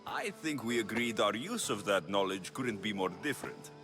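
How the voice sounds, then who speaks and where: An actor playing a wary, blunt young mercenary in a video game, speaking in a terse, guarded way. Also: male voice, lowish voice, expressive, normal speed, music in the background, lightly acted. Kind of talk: video game dialogue acting.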